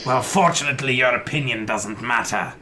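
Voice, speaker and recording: A man playing a threatening, self-righteous rebel in an audio drama, confrontational and forceful. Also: mid-range voice, fairly quick, lightly acted. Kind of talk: scripted audio drama acting. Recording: faint ambient noise.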